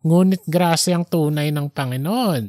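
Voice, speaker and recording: A performer voicing a devout, earnest man in his late eighties for an audiobook story, speaking slowly and deliberately. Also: medium pitch, darkish tone, no room sound, studio quality, lightly acted. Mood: devout, grateful. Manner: slow, deliberate, hesitant openers. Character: pious, earnest 87-year-old Filipino grandfatherly man. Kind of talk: fictional audiobook character dialogue.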